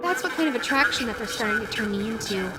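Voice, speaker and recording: A woman's voice: fairly high pitch, fast talker, lightly acted. A woman voicing a playful, lonely female animatronic fox in a roleplay audio drama, chatty, coy and teasing as she talks to the listener. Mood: playful, eager. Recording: loud steady background noise.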